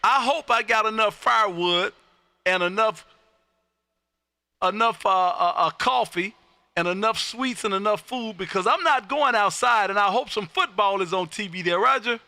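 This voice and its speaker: Bright, high-pitched man on ham radio. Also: moderate pace, no echo. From Texas. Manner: loud, exuberant, repeats himself for emphasis. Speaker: amateur radio operator and live stream host. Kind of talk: casual ham radio chat and calling.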